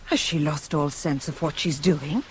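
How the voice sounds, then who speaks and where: A woman's voice: deep voice, steady tone, a little slow, breathy edge, exaggerated voice. An actress playing a concerned woman of a royal court in a radio Greek tragedy, formal and earnestly questioning. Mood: anxious concern. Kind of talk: 1980s radio drama acting of Greek tragedy.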